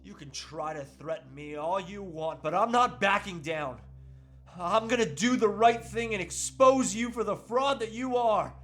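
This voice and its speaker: Male reader as indignant everyman husband, high-pitched voice, earnest melodramatic defiance, table read, faint music behind. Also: a little slow, a bit bright. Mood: resolute. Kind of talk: comedic table read of a movie script.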